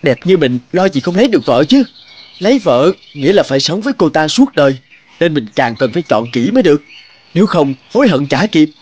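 Vain high-voiced butterfly bachelor. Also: male voice, brisk, breathy edge, muffled recording. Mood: smug musing. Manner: boastful, pompous, theatrical flattery and complaint. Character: vain, picky male butterfly bachelor seeking a flower bride. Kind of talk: cartoon character acting.

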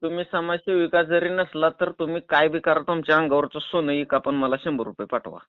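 A man's voice: fairly high pitch, fairly even intonation, brisk, smooth, dry recording, lightly acted. A man with a rural Maharashtrian Marathi accent playing an argumentative, rambling drunk pestering a woman in a prank phone call. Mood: wheedling. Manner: rambling, stubborn, cheeky. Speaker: prank caller. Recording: narrow tinny phone-call recording.

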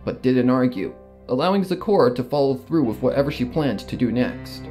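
A man's voice: mid-range voice, animated, fairly quick, dark tone. A man reading a scripted story in the first person as a dramatic narration. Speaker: fan fiction narrator. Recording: guitar music behind the voice. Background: guitar and plucked string music.

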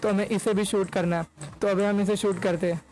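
High-voiced young man, even-toned game commentary. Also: a little fast. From India. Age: young adult. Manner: chatty, mixes in English words. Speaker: gaming YouTuber. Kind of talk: casual gameplay commentary. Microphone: desk microphone close to mouth.

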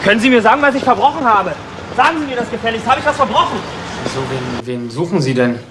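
Cheeky young drifter, higher-pitched, noisy street. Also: male voice, very animated, fast. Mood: indignant. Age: young adult. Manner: colloquial, indignant, repetitive. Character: cheeky, defiant young homeless man with a bicycle. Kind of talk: 1980s German crime radio drama acting. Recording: noisy outdoor street scene with traffic.

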